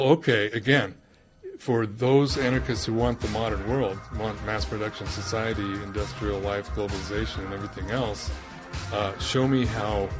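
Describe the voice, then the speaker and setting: Man, fairly low pitch, halting argumentative delivery, unscripted explanation, prominent background music. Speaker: author and theorist. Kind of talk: spontaneous explanatory talk.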